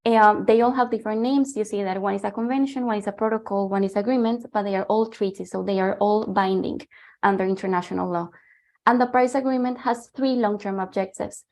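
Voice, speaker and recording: A woman from Colombia with a Colombian Spanish accent, a native Spanish speaker giving a guest lecture over a video call. She explains briskly while she shares her slides, with frequent ums. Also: medium pitch, fairly even intonation, darkish tone. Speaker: guest lecturer, former climate negotiator.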